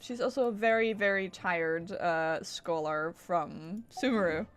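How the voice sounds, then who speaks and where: A woman's voice: lowish voice, a little slow, clear, resonant tone. A woman chatting casually on a gaming livestream, rambling, interrupting herself and swearing now and then. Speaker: game streamer. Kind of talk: casual livestream gameplay chat.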